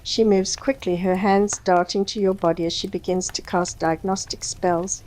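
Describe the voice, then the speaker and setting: Female story narrator, medium pitch. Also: a little mellow, rough recording.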